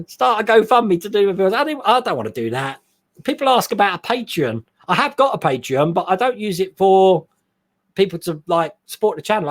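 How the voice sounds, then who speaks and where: Lively man chatting on a live stream. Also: sits high. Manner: chatty, rambling, quick laughs. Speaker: host. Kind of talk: casual live-stream chat reading viewer comments.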